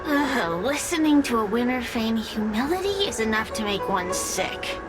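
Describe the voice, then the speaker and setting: Haughty, defiant fire spirit, high voice, slow theatrical game delivery, loud music underneath. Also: female voice, very animated. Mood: bitter. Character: proud defiant ancient fire spirit, villain. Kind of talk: video game voice acting.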